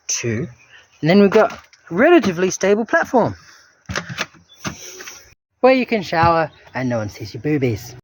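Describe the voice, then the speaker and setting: A man's voice: fairly high pitch, no room sound, rough recording. A man vlogging his day with chatty, self-mocking narration into a handheld phone camera. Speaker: homesteading vlogger. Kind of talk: casual self-filmed vlog narration.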